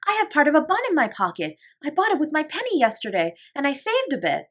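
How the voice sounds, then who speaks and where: A woman reading aloud and voicing an eager little girl, high and squealing. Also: huge pitch swings, fast talker. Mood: excited. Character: small excited little girl, eager and delighted.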